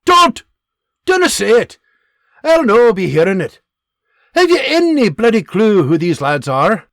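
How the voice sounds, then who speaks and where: A man voicing a gruff Scottish army sergeant who barks orders and scolds his men in a Scottish accent. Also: sits high, sing-song, a little slow, fairly bright tone, no room sound, clean studio sound, voice pushed up, heavily acted. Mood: barking. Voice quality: barking, scolding.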